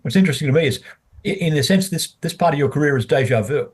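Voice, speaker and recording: A man's voice: on the high side, quick pace, husky. A man interviewing a company CEO, asking long questions and sometimes cutting in. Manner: lively, talks over the guest.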